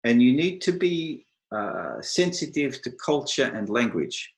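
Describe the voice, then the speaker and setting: A middle-aged Australian man giving an advice talk to an online meetup, speaking slowly and clearly with dry humour. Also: mid-range voice, big pitch swings, reverberant. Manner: slow, deliberate, clear delivery for non-native listeners.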